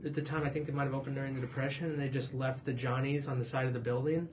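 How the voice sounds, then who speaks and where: A man hosting a design podcast, chatty and quick, often restarting his sentences. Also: medium pitch, level tone, a little mellow, a little hiss or hum. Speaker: podcast host. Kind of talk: casual interview hosting.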